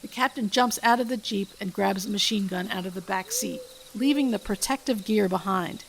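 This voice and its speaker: A woman's voice: mid-range voice, moderate pace, gravelly, slight background noise, poor audio. A woman podcast host reading her own script aloud, stage directions and all. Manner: reads stage directions steadily. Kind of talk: script read-aloud narration.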